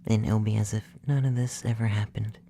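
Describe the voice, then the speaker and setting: Casting: performer as gentle, effeminate young male alien abductor, ASMR roleplay; mellow half-whisper, soothing matter-of-fact delivery, some background noise. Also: medium pitch, steady tone, natural voice. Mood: soothing, instructing. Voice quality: soft, breathy, often whispered. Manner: slow, soothing, softly spoken, matter-of-fact.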